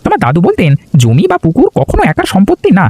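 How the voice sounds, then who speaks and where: A man's voice: high-pitched, huge pitch swings, fast, noisy background, exaggerated voice. A man playing a kindly, approving older village uncle in cartoon dialogue. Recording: faint music behind the voice.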